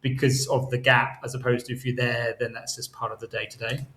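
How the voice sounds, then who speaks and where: A man's voice: mid-range voice, level tone, bright, forceful tone. A middle-aged man with an English accent, a travel blogger guest chatting casually about what to see. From United Kingdom. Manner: talks fast, chatty, light laughs, lists sights. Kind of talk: casual interview.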